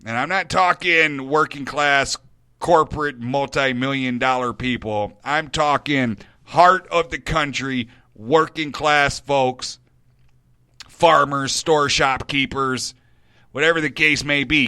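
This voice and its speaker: A man's voice: medium pitch, slow, pushed and bright, clear, resonant tone, no echo, clean studio sound. A man hosting a radio show, giving a blunt opinion monologue and repeating words for emphasis.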